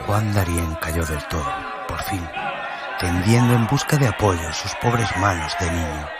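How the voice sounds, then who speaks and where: A man's voice: sits low, lively intonation, a little slow, over a noisy crowd. A man narrating a dramatic story in a solemn, grave, scripted storytelling manner. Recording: loud music under the voice.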